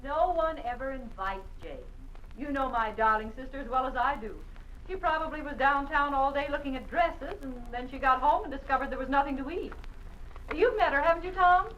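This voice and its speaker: A brisk, sharp-tongued housewife. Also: female voice, high-pitched, muffled recording. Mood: tart. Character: brisk practical middle-aged housewife. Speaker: wife. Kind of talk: 1950s radio drama acting. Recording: faint hissy 1950s radio recording, mic at a distance.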